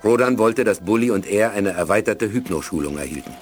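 A man's voice: on the low side, lively intonation, fairly quick, soft background music. A man narrating a 1980s German radio play in a scripted storytelling style.

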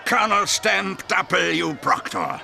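An actor in a German audio drama playing a huge, belligerent American colonel who shouts and taunts. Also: male voice, sits high, huge pitch swings, slow pace, vocal fry, background noise, full character voice. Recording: loud crowd tumult behind.

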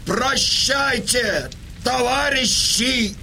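An actor playing a proud, defiant old Cossack chieftain in a radio drama, impassioned and declamatory. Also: male voice, very high voice, gravelly, sharp tone, slight background noise, exaggerated voice, rough recording. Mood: rousing farewell. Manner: declamatory, impassioned, drawn-out delivery. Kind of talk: Russian radio drama acting. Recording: music behind the voice.

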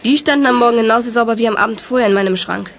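A woman's voice: fairly high pitch, very fast, natural voice. A woman playing a big, misunderstood schoolboy in a radio play, honest, simple and earnest. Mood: protesting innocence.

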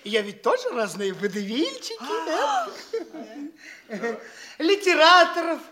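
A man's voice: very high-pitched, wildly swooping pitch, slow, over a noisy crowd, exaggerated voice. An actor playing a boastful, foppish young man in a theatrical stage comedy, gushing and stammering over his own boasts. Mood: bragging.

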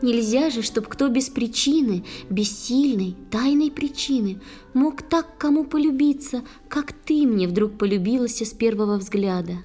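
An actress playing a simple-hearted young water nymph in a children's verse audio play, earnest and theatrical. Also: female voice, on the high side, unhurried, dark tone, soft background music. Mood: tender.

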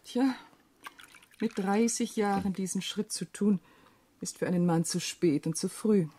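An actress playing a weary, shrewd young widowed landowner in a German radio play, husky and speaking in a measured, reflective way. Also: female voice, medium pitch, dark tone, slight background noise, natural voice.

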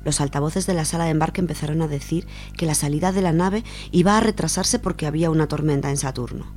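A woman's voice: low-pitched, fast, darkish tone. A woman with an accent from Spain reading a literary short story aloud as a radio storyteller. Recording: music behind the voice.

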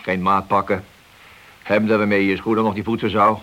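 Actor as a low-voiced, slyly affable police detective. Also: male voice, level tone, normal speed, a bit bright, lightly acted. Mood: quietly menacing. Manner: slyly affable, leisurely, needling. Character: wily senior police detective, feigning friendliness while cornering suspects. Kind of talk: radio play acting. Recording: hissy old radio play recording.

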